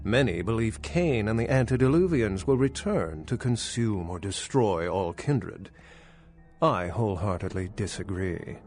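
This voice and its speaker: Ancient male vampire academic for a game: lowish voice, very animated phrasing, pedantic, wry, music underneath. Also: measured pace. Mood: lecturing, skeptical. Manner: articulate, lecturing, wry, pedantic. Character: centuries-old male vampire scholar, erudite, skeptical, dryly condescending. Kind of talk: video game dialogue acting.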